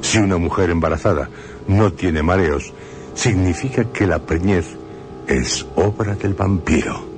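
Deep-voiced man narrating a radio tale. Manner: measured, solemn storytelling. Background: music.

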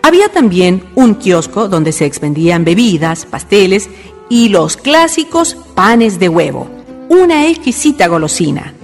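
A woman reading scripted historical narration for a radio drama. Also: mid-range voice. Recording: long reverb, music behind.

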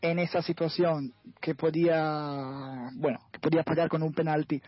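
Italian man in halting Spanish. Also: a little high, flat delivery, dry recording. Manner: hesitant, searching for words, many fillers. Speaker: guest football commentator. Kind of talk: radio football analysis chat. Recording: dull, lo-fi radio sound.

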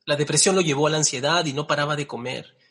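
A man's voice: fairly high pitch, fast talker, a bit bright, husky. An actor playing an anxious, melodramatic man grieving his lost cat, in a radio comedy, whiny and theatrical. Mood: self-pitying.

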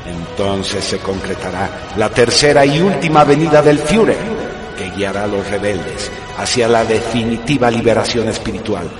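Male narrator for radio drama: lowish voice, solemn, declamatory delivery over prominent music. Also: lively intonation, moderate pace.

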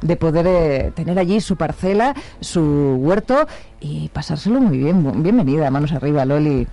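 A man co-hosts a radio interview. His voice is on the higher side and sounds mellow and soft. He speaks haltingly, with plenty of fillers and restarts. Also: animated. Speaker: radio co-host.

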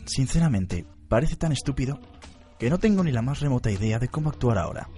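An actor playing an unflappable, analytical detective in a radio drama, methodical and blunt. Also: male voice, mid-range voice, expressive, fairly quick, dark tone, faint music behind, natural voice. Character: analytical, unflappable male detective briefing his team.

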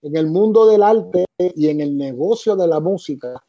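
A middle-aged man with a Puerto Rican accent, a musician and arranger talking about his career in an interview, relaxed and reflective, with many fillers. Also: a little high, normal speed, soft background music. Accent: Puerto Rican Spanish. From Puerto Rico. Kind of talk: interview answers, storytelling. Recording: dull lo-fi sound.

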